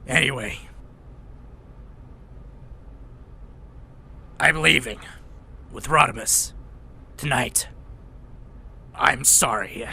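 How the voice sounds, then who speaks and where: A man voicing a grumpy, aging robot medic in a motion comic, blunt, gruff and world-weary. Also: mid-range voice, slow, bright, forceful tone, exaggerated voice. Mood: weary, resigned. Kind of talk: animated motion-comic dialogue.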